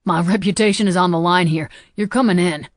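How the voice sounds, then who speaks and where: A woman's voice: lowish voice, fairly quick, no echo, studio quality, natural voice. A woman voicing a teasing, cocky young man with a slight Southern accent, in an audiobook. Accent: slight Southern US drawl.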